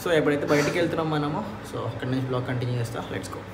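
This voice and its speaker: A young man vlogging casually, mixing in English words as he talks about his trip and the rental flat. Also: fairly high pitch, racing. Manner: mixes Telugu with English, chatty and upbeat. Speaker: motovlogger. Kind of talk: casual vlog narration. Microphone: lav mic on helmet while riding. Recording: road noise.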